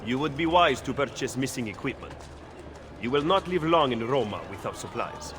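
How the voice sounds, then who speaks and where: A man's voice: mid-range voice, expressive, close to an everyday voice. A man playing a stern, cautious political ally, speaking formally and curtly in a video game. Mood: stern, advising. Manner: formal, measured. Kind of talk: video game cutscene acting. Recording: game music behind.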